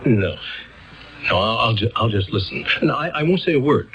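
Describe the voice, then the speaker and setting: An actor playing an exasperated, disbelieving legal counsel, sarcastic and conversational, in a radio drama. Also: male voice, mid-range voice, animated, normal speed, fairly bright tone, natural voice. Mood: weary disbelief. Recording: dull old radio recording, background noise.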